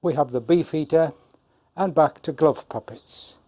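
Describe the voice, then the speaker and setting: A man in his seventies, a puppeteer, explaining and showing his craft in a measured way with dry humour. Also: fairly high pitch, mellow, no echo. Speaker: puppeteer, Punch and Judy showman. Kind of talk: informal talk demonstrating puppets.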